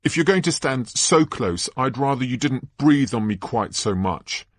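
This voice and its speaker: A man's voice: medium pitch, animated, moderate pace, dry recording, voice pushed up, natural voice. A man voicing a bossy, prickly young schoolgirl in a storybook reading, prim and indignant. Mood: prickly, suspicious.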